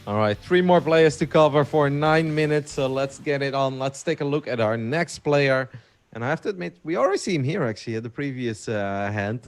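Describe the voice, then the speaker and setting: A man hosting a poker broadcast, briskly introducing players and handing off to his co-host. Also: mid-range voice, animated.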